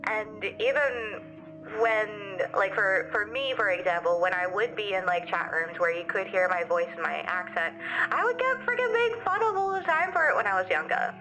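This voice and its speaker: A woman's voice: mid-range voice, expressive, moderate pace, pushed and bright, soft background music, low-quality recording. A young woman with a mild city Australian accent, chatting casually and rambling with lots of fillers as she answers her livestream viewers. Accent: softened city Australian. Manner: rambling, giggly, many fillers. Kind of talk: casual chat reading viewer comments.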